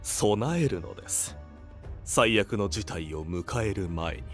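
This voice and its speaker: A man's voice: fairly low pitch, animated, takes it slowly, a bit bright, soft background music. A man playing a calculating fantasy council official who speaks with polite, insinuating formality. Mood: pressing. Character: smooth-tongued, calculating male council official in fantasy drama. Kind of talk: dramatic scripted voice acting.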